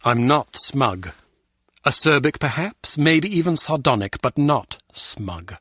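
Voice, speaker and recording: Husky, sardonic intelligence officer. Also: male voice, medium pitch, animated, slow, fairly bright tone, no echo, studio quality, full character voice. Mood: acerbic, sardonic. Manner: sarcastic, pompous. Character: acerbic, sardonic senior male intelligence officer. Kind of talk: scripted spy game dialogue.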